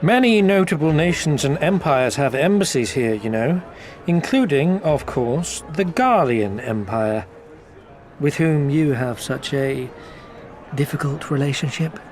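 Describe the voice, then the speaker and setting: Florid merchant agent for a video game: courteous to excess, roundabout, very lively intonation. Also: male voice, a little high, unhurried, soft background music. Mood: sly, confiding. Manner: florid, circuitous, unctuously polite. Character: smooth-talking, theatrically courteous male merchant and company agent. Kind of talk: scripted video game character dialogue.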